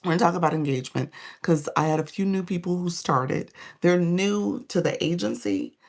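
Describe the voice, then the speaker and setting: A hoarse, tired woman coaching on a phone live stream, greeting viewers by name in casual chat between her points. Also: on the low side, animated, moderate pace.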